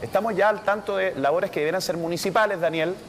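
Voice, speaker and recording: A man giving measured, formal commentary as a studio news anchor. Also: sits high, fairly bright tone, in a large hall, a little hiss or hum.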